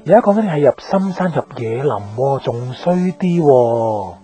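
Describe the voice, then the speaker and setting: An actor playing a hesitant, secretly jealous young man, a close friend, in a radio drama, halting and introspective, with a Hong Kong Cantonese accent. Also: medium pitch, animated, warm tone, faint music behind, natural voice. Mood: uneasy, objecting. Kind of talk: radio drama dialogue and inner monologue.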